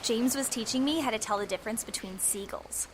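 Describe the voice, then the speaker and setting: A woman playing bubbly high-voiced Ellie. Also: brisk, noisy background, lightly acted. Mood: cheerful. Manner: bright, gushing, upbeat. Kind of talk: audio drama acting.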